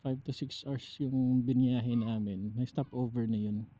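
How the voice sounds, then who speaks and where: A man with a Filipino accent chatting casually on his own in a reflective way, pausing mid-thought, through a motorcycle helmet intercom microphone. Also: medium pitch, steady tone, mellow. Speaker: motovlogger and angler. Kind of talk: casual, rambling solo vlog chat. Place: outdoors, riding a motorcycle. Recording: dull helmet intercom audio, faint noise.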